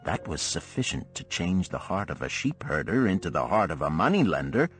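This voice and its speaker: A man's voice: deep voice, big pitch swings, a little fast, a bit bright, faint music behind. A man voicing a stern, blunt old moneylender mentor who lectures and scolds emphatically in a storybook parable. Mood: stern, probing. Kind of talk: audiobook parable character dialogue.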